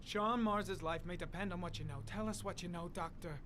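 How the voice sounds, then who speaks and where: A man's voice: high voice, a little fast, background noise, lightly acted. An actor playing an earnest, principled male federal agent, insistent and pleading, in a video game drama. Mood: urgent pleading.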